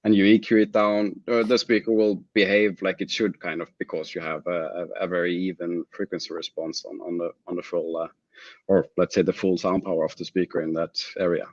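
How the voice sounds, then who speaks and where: A man answering technical interview questions as a product manager, in halting, measured phrases. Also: low-pitched, level tone, no room sound, muffled recording.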